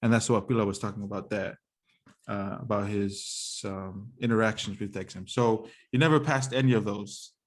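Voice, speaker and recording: Relaxed podcast host, Tongan speaker. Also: male voice, fairly low pitch, moderate pace. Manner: relaxed, frequent fillers, explains for listeners. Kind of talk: casual podcast hosting.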